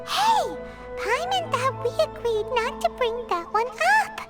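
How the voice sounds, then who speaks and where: A woman voicing a tiny, excitable female companion sprite in a video game, who speaks about herself in the third person. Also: extremely high pitch, a little slow, exaggerated voice. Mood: indignant. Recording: game audio with loud music.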